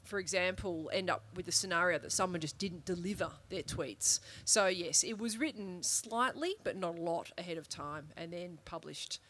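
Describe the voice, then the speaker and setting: A woman's voice: lowish voice, moderate pace, few pauses, a bit bright, a little hiss or hum. A woman moderating a festival panel in front of an audience, chatty and jokey, taking questions informally. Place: live event room with an audience.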